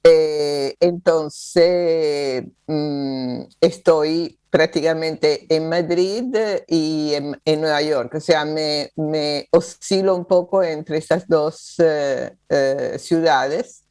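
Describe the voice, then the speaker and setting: A woman from Venezuela, a guest in an interview, talking slowly with many hesitations. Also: deep voice, steady tone, dark tone, clean tone. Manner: slow, rambling, with frequent hesitations. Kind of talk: casual interview over a call. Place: New York.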